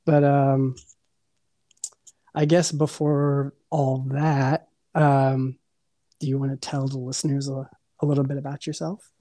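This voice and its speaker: A man's voice: mid-range voice, flat delivery, measured pace, pauses often, warm tone, dry recording. A man hosting a casual podcast interview, hesitant and restarting his questions often. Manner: hesitant, full of fillers and restarts. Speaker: podcast host.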